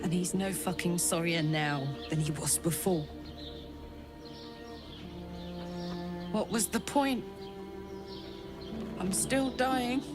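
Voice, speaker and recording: A woman's voice: lowish voice, slow pace, music in the background. A woman acting a brash but tender warrior who is grieving her own coming death in a video game, raw and heartfelt. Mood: drained, bitter.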